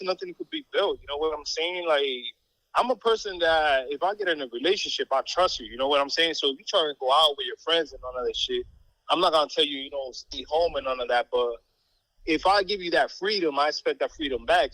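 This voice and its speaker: Fast-talking bright-voiced man on a phone call. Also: a little high, no echo. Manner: laid-back slangy talk, swearing. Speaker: guest cousin on a phone call. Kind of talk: casual phone chat.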